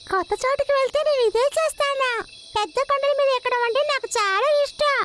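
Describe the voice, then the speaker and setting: A woman's voice: very high voice, normal speed, very noisy. A woman voicing a cheerful young male hero in a dubbed cartoon, eager and chatty. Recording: loud music under voice.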